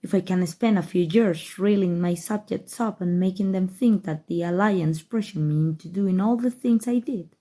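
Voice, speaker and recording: A woman's voice: lowish voice, moderate pace, few pauses, dark tone, lightly acted. A woman playing a haughty, scheming evil queen in an ASMR roleplay, with a regal, theatrical, condescending manner. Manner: regal, theatrical, condescending, drawling with amused disdain. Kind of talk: ASMR roleplay audio drama.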